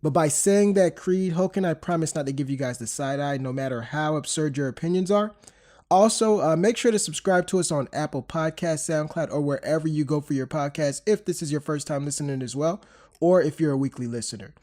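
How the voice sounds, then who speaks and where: Man, 30s, podcast host, stuffy from a cold, mellow tone, rambling, filler-heavy. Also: fairly high pitch, a little fast. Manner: chatty, rambling with fillers and restarts. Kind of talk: casual podcast chat.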